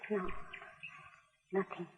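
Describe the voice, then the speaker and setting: A woman playing a dying female outlaw in an old radio western melodrama, weak, halting and plaintive. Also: close to an everyday voice. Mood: weak, resigned. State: weak, dying from blood loss. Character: dying outlaw woman, cold greed turned lonely regret. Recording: noisy old radio recording, narrow, reverberant, birdsong.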